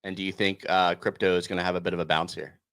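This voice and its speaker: A male podcast host with a fairly low voice speaks in a laid-back, halting way, filling pauses with ums. The delivery is loose and conversational. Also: little pitch movement, a bit bright, clear, resonant tone. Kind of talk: casual podcast banter.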